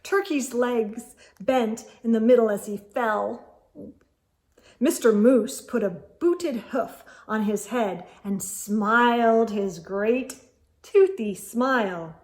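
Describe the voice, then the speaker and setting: A woman reading a children's storybook aloud as host, in an expressive storytelling manner. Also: fairly high pitch, takes it slowly.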